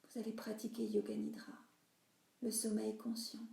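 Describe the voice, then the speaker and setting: A woman yoga nidra teacher leading a guided relaxation meditation in a soothing, instructive way, with phrases that soften into breathiness. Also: medium pitch, monotone, moderate pace, gravelly. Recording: roomy, reverberant voice recording.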